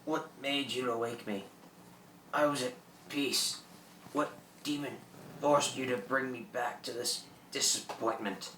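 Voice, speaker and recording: An actor playing an ancient French pirate captain in a radio drama, proud and ailing, with halting speech and French exclamations. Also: mid-range voice, a bit bright, close to an everyday voice. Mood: dazed, bitter. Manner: theatrical, boastful, peppered with French exclamations. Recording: echoey room, distant mic, background noise.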